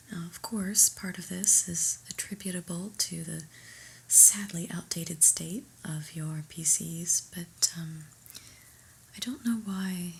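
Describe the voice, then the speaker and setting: A woman whispering an ASMR roleplay as a prim, exasperated new office boss, deadpan and politely condescending. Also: lowish voice, slight background noise, low-quality recording.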